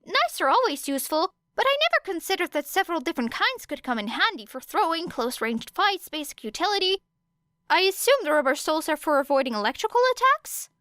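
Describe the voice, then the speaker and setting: An earnest, nerdy teenage boy played by a woman, high-pitched and hurried. He rambles through details with analytical curiosity, in a clean, dry audiobook sound. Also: very animated, a bit bright, dry recording, heavily acted. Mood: muttering, analytical.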